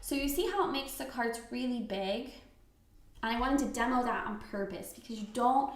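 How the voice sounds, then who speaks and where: A woman giving a live webinar demo of software, chatty and checking in with her viewers. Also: on the high side, normal speed. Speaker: software trainer. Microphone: computer mic on a video call. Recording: echoey room.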